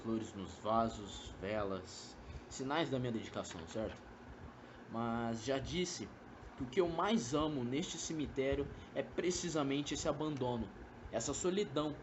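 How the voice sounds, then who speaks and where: A slightly noisy amateur radio drama recording of a young man playing a melancholic Brazilian lover. He is brooding and insinuating, with a morbid calm and a medium-pitched voice. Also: unhurried, lo-fi sound, natural voice. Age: young adult. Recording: amateur recording with background noise.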